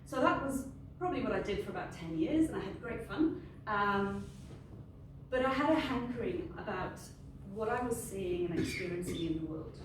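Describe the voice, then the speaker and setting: A woman's voice: medium pitch, lively intonation, normal speed. Welsh woman speaking in an echoey hall. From Wales. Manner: conversational, unscripted, friendly. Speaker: industry panel speaker. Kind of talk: informal presentation to a group. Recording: very echoey room, distant mic, ambient noise.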